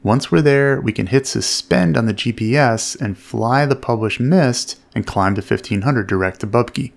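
A man with a creaky, gravelly edge explains a flight step by step. He speaks at a medium pitch in a measured, instructional manner, like a flight instructor giving commentary.

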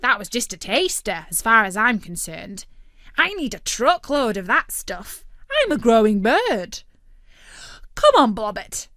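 A woman voicing a greedy, brash male turkey who talks loudly and eagerly. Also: on the high side, very animated, measured pace, no echo, studio quality, exaggerated voice. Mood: greedy boasting. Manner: loud, eager, bossy.

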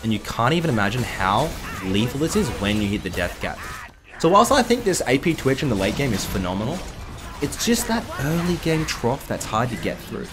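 A young man with a slightly croaky voice, casually commenting on a game replay from his desk, chatty with excitable bursts. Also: mid-range voice, brisk. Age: young adult. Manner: chatty, excitable bursts over calm analysis. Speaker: gaming commentator. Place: indoor room at a desk. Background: background music.

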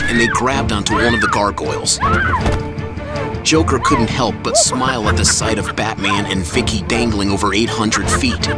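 A man delivers dramatic, scripted story narration. His voice sits fairly low, and loud music runs beneath it throughout.

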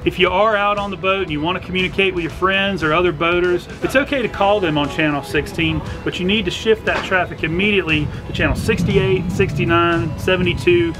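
Man, middle-aged, high-set voice, instructional presenter, step-by-step delivery, background music. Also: fairly quick, hardly any pauses. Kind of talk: instructional explainer to camera. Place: outdoors on a docked boat at a marina.

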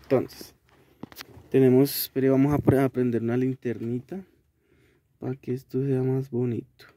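Mellow young Colombian man, casual vlogger. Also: medium pitch, flat delivery, normal speed. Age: young adult. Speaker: video host reviewing a gadget. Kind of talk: casual vlog review. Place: inside a small car. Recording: noisy car-cabin recording with clicks.

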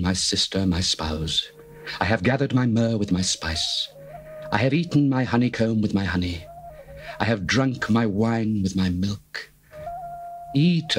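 An actor playing an adoring young bridegroom, reading scripture in a slow, measured, reverent way over faint music. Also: male voice, fairly low pitch. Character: adoring male bridegroom, young adult, tender and devoted.

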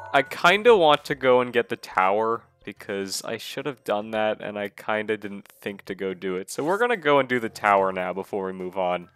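A man's voice: fairly low pitch, a bit bright, clean tone. A man with a nasal voice from a cold, giving rambling, casual gameplay commentary as a let's play host. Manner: rambling, casual, self-deprecating. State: recovering from a cold.

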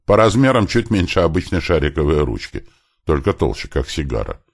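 A man narrates an audiobook story in an extremely deep voice with a gravelly creak. His intonation moves widely as he reads first-person fiction, lightly voicing bits of dialogue along the way. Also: very animated, brisk.

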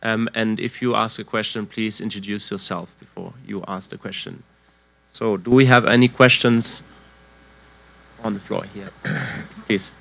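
A man's voice: lowish voice, fairly even intonation, measured pace, vocal fry, long pauses, a bit bright, a little hiss or hum. A middle-aged man formally moderating a press conference. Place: indoor press conference stage. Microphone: gooseneck microphone in front of seat.

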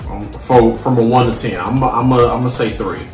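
A man's voice: mid-range voice, normal speed. An American man in his thirties chatting casually and emphatically in slang as he reviews food on camera. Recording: roomy home recording with faint music.

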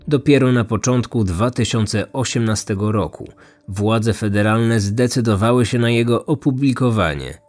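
A man narrating a scripted true-crime podcast as host, in a measured, serious manner. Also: medium pitch, a little mellow.

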